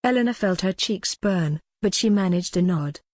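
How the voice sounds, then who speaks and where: A woman's voice: medium pitch, expressive, normal speed, a little mellow, dry recording, studio quality. A woman reading a scripted historical story as an audiobook narrator.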